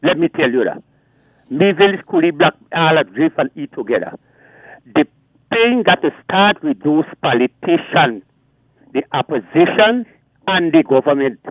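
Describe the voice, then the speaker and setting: A man's voice: fairly high pitch, big pitch swings, unhurried, no room sound. A Guyanese man calling in to a radio show, talking emphatically and pressing on over the host. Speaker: caller. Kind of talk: call-in rant. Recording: tinny phone line.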